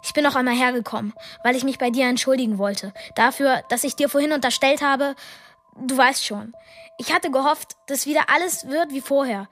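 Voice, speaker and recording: Young teen, high voice, accusing, wounded, radio drama, faint music behind. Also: female voice, a little fast, fairly bright tone. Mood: hurt, bitter. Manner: insistent, reproachful. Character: hurt, accusing young teenage friend. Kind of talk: radio play acting.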